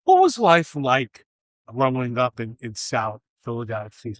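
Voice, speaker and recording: A man's voice: mid-range voice, very animated, unhurried, no room sound, studio quality. A man hosting a conversational interview, putting questions to his guest. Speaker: podcast host, interviewer.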